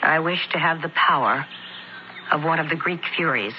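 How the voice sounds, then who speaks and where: A low-pitched, scheming young noblewoman, acted by a woman in an old radio drama. She speaks with formal, deliberate command and cold pride. The dull broadcast recording carries clear noise. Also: close to an everyday voice. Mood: cold, resolute. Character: vindictive, proud, scheming young noblewoman of ancient Pompeii.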